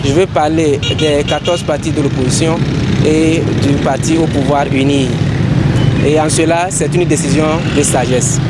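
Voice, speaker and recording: High-voiced, formal Togolese man. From Togo. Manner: earnest, ceremonious, halting. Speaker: citizen giving an opinion. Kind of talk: formal spoken statement to a microphone. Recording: clear outdoor street and traffic noise.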